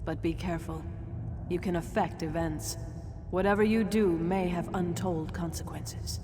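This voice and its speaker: Grieving female spirit, lowish voice, long ghostly reverb, grave, portentous, resolute, fantasy game. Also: normal speed, noisy background. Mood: grave, mournful. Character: solemn, grieving ghostly woman from the ancient past, resolute. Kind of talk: video game character acting. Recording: long reverb, music behind.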